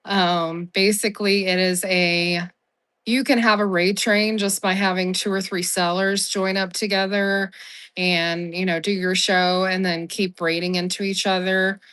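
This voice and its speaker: A woman hosting a live-selling stream, hoarse as she recovers from the flu, chatty and apologetic, with plenty of ums. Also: mid-range voice, little pitch movement, measured pace, a bit bright. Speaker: live-selling app host. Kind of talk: casual livestream seller-support chat.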